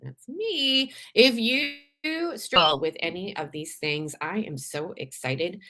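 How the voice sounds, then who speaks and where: A woman from Canada hosts a live show in a chatty, animated way. Her voice sits a bit low for a woman and swings widely in pitch. It sounds a little worn from days of talking. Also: slow. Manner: chatty and animated, frequent laughs and restarts. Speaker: podcast host. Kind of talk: casual live-stream monologue.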